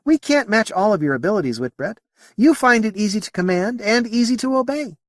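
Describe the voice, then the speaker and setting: Man playing a chatty, animated young midshipman. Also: high-pitched, a little fast, no room sound, studio quality, pitched above the performer's own voice. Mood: admiring. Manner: informal, wry, chatty. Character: young male spaceship midshipman, easygoing and talkative.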